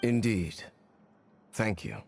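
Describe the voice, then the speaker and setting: An actor playing a calm, quietly loyal wandering swordsman in a video game, speaking in a measured, formal way. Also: fairly low pitch. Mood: calm, reserved. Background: game music.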